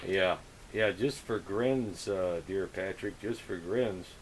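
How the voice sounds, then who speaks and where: A man's voice: sits low, slow pace, slight background noise. A man chatting casually over the radio as an operator, effusive and full of radio jargon. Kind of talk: casual radio contact chat.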